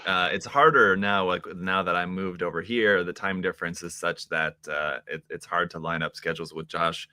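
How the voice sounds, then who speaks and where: Low-voiced man hosting a stream, bright tone, dry room, easygoing with lots of fillers. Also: normal speed, dry recording. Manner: relaxed, laughs often, many fillers. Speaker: live stream host. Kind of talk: casual live stream chat.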